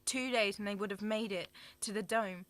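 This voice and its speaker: A woman's voice: mid-range voice, steady tone, normal speed, a bit bright, close to an everyday voice. A woman playing a grieving older sister who has lost her children, speaking with shaken grief. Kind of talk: amateur radio drama acting.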